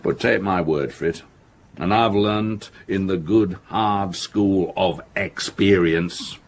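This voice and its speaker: A man's voice: deep voice, slow pace, a little hiss or hum. An actor playing a pompous, self-satisfied older businessman in a radio drama, with a hearty, lecturing manner. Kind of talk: British radio drama acting.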